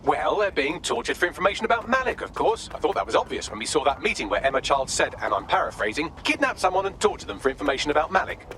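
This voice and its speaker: A man's voice: on the high side, big pitch swings, fast talker, hardly any pauses, sharp tone, slight background noise, exaggerated voice. An actor playing a witty, dry male AI assistant, in a video game. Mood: wry. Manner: dry, sardonic.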